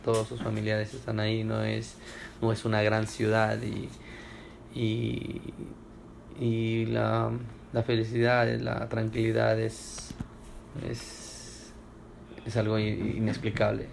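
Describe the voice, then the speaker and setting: A man's voice: fairly low pitch, completely flat delivery, takes it slowly, creaky, a little hiss or hum. A young man from Ecuador answering oral history questions at home, hesitant with many fillers and restarts. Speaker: interviewee.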